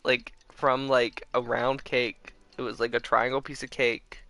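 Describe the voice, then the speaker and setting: A man's voice: medium pitch, little pitch movement, unhurried, pushed and bright, no room sound. A young man chatting casually and in a rambling way as he livestreams a game. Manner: rambling, chuckling. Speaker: live streamer. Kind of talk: casual gameplay stream chat. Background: game music.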